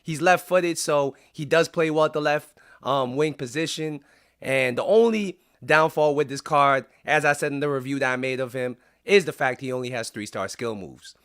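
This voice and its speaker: A man talking through video-game commentary in a casual, chatty way, sounding raspy because he is under the weather. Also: fairly high pitch, moderate pace, a bit bright. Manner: casual and chatty, with frequent "um" fillers. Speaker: YouTuber. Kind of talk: gaming commentary.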